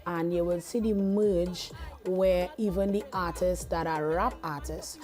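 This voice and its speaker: A Trinidadian woman, a music artist, chatting in a TV interview in a relaxed way, often repeating her phrases. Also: lowish voice, a little slow. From Trinidad and Tobago. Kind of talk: casual interview chat. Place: TV studio.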